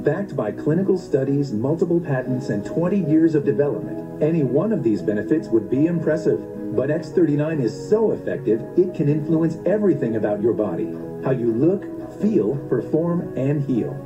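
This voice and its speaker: A man reads a commercial in a smooth, selling tone, his voice sitting a little high. Clear background music runs under every line. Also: big pitch swings, normal speed, lo-fi sound. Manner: smooth, persuasive sales delivery. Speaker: ad narrator. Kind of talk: scripted commercial voice-over. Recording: voice-over with clear music bed.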